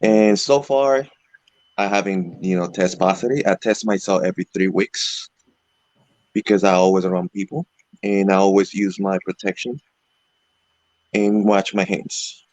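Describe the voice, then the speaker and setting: Low-voiced Venezuelan-raised man, halting delivery. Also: steady tone, a little mellow, no echo. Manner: halting, frequent "you know" fillers. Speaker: interview guest, electric skateboard rider. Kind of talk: casual video call interview.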